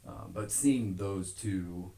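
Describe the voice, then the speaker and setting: A very low-voiced American man talks in a casual interview. He speaks earnestly and rambles along, leaning on filler phrases. The room adds a noticeable echo to his voice. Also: slow pace, warm tone. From Omaha, Nebraska. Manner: rambling, earnest, frequent "you know". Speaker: podcast guest, gym owner. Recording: roomy, echoing podcast recording.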